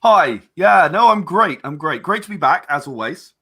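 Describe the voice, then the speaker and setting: A male podcast guest with a British accent and a fairly high, bright voice. His pitch moves in a lively, animated way as he chats casually. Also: moderate pace, breathy edge. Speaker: podcast guest, audio drama creator. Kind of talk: casual chat.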